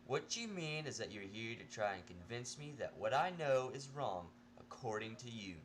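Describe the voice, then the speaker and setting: A man's voice: medium pitch, big pitch swings, normal speed, lightly acted. A man voicing a sarcastic, irritable male patient locked in an asylum, in an amateur story reading with background noise.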